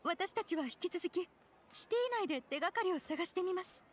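An actress playing an anxious, gentle mother who speaks politely and softly in an anime game. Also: extremely high pitch, animated, a little fast, a little hiss or hum. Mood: grateful. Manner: soft-spoken, polite, worried. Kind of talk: Japanese anime game voice acting.

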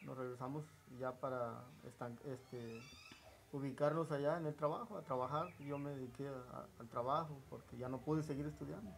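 A man in his forties from Chiapas with a southern Mexican accent, reminiscing in an interview in a halting, unhurried way. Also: medium pitch, fairly even intonation, gravelly. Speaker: interview guest. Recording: noticeable background ambient noise.

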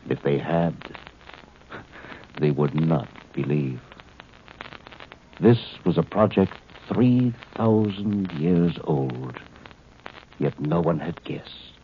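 An actor playing a man speaking from beyond death, slow, solemn and hushed, in 1950s radio drama style. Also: low voice. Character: grave, otherworldly male narrator of his own death. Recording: dull old radio recording, faint hiss.

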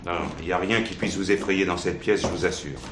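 An actor playing a plain-spoken, deferential police assistant in a detective radio play. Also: male voice, low voice, steady tone, brisk, lightly acted. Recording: echoey room, noisy with clicks.

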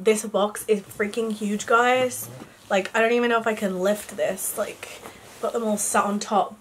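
A young woman with a croaky voice and a cough, chatting casually through a product haul in her bedroom. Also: fairly high pitch, fairly bright tone. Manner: chatty, rambling, lots of fillers. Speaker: beauty vlogger. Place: bedroom, indoors.

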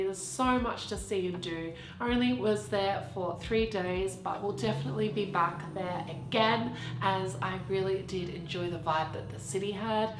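Croaky woman gushing through an unboxing. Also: mid-range voice. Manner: chatty, gushing about packaging. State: croaky from a cold. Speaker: vlogger. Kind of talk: casual unboxing vlog narration. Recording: roomy sound with background music.

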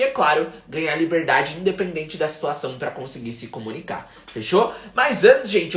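A man's voice: high-pitched, reverberant. A young Brazilian man with a Brazilian Portuguese accent, teaching English on a livestream with energy and slipping English phrases in. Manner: energetic, mixes in English phrases, gestures a lot. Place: small room at home, indoors.

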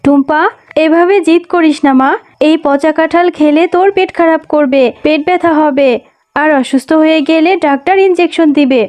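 A woman voicing a loving, worried mother fox in a cartoon, tender and gently scolding. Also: high-pitched, fairly even intonation, normal speed, natural voice. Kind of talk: cartoon voice acting. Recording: music under the voice.

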